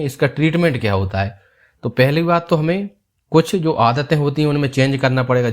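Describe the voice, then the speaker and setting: Male doctor, mid-pitched, calm step-by-step explainer. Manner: calm, steady explaining, repeats key points. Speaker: doctor explaining health topics. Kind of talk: explanatory health video.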